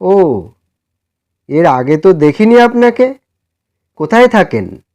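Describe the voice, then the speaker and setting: A man's voice: high voice, very animated, slow, a little mellow, pitched above the performer's own voice, full character voice. A man voicing a courteous, reserved doctor in a storybook, asking questions politely. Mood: curious. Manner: polite, inquiring. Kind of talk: dramatised storybook dialogue.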